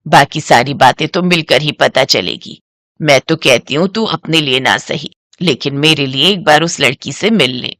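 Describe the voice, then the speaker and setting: A woman's voice: sits low, normal speed, fairly bright tone, dry recording, studio quality, pitched below the performer's own voice. A woman voicing a caring older mother in a moral story, motherly and coaxing.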